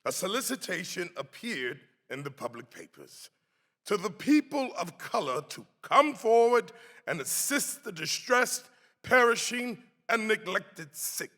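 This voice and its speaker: A man reading as a dignified 18th-century clergyman defending his people, in a formal, deliberate, declamatory style. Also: high voice, very animated, pushed and bright, full character voice. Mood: grave, emphatic. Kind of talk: dramatic reading of a historical pamphlet. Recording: reverberant hall.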